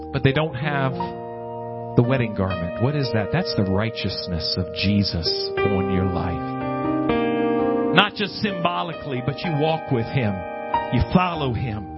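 Male preacher, earnest exhortation, emphatic repeated phrases, dull lo-fi audio, prominent guitar backing. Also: medium pitch. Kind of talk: evangelical sermon. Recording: dull lo-fi audio under loud guitar music. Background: loud plucked guitar music.

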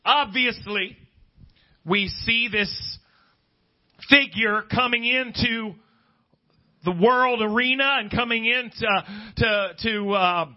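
A middle-aged American man preaching as a pastor, in emphatic, deliberate phrases with pauses. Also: very high voice. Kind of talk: preaching a sermon to a congregation, reading scripture. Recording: dull, lo-fi sermon recording.

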